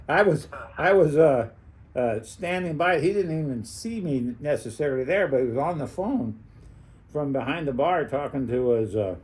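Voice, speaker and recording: Older American man, higher-pitched, rambling and chuckling. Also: lively intonation, darkish tone. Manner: rambling, halting, chuckles often. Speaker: former rock club owner reminiscing. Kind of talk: casual reminiscing conversation.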